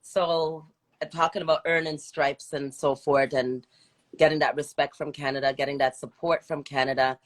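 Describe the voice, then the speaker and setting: A woman's voice: low-pitched, little pitch movement, moderate pace. A woman hosting a casual live interview, chatting informally and encouraging her guest with affirmations. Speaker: interview host. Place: video livestream call.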